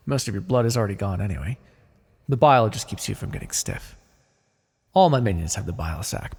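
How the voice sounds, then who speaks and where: A man's voice: medium pitch, gravelly, a little mellow, husky, long reverb tail, exaggerated voice. A man playing a pragmatic, chatty necromancer in a casual, wry ASMR fantasy roleplay. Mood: focused, instructive. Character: pragmatic, chatty male necromancer, matter-of-fact and ambitious. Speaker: roleplay voice actor. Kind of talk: ASMR fantasy roleplay, one-sided dialogue.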